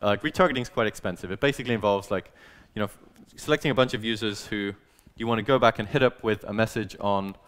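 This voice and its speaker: A young man gives a relaxed, conversational talk to an audience as a conference speaker. His voice sits a little low and sounds smooth and resonant. He often fills pauses and restarts his phrases. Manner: conversational, many fillers and restarts. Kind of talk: casual presentation talk.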